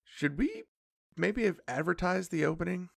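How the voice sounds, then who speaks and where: Actor as fussy magical companion, gravelly fry, swooping intonation, wary, nervous, admonishing, audio drama. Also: mid-range voice, animated, normal speed, dry recording, clean studio sound. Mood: doubtful.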